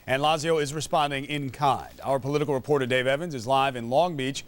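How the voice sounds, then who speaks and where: A man's voice: mid-range voice, brisk. A man reading scripted television news as an anchor.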